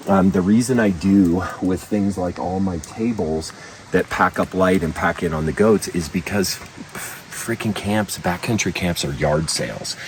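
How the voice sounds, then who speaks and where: A man's voice: low voice, darkish tone. A man giving a casual outdoor walkthrough as a guide, plain-spoken and keeping his voice down. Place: outdoors at a backcountry camp. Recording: distant mic, outdoor background noise.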